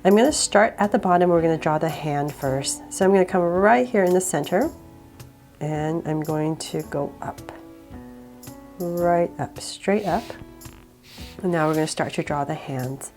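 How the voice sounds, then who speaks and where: A woman with a cold guiding viewers step by step through a drawing, relaxed and laughing a little at her own slips. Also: lowish voice, unhurried, faint music behind. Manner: relaxed, with small laughs and self-corrections. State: sick, voice a bit off. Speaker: drawing tutorial host.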